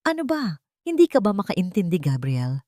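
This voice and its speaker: A woman voicing an angry, jealous, accusing woman in an audio love drama, with sharp taunting delivery. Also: lowish voice, huge pitch swings, moderate pace, no room sound, clean studio sound. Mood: furious.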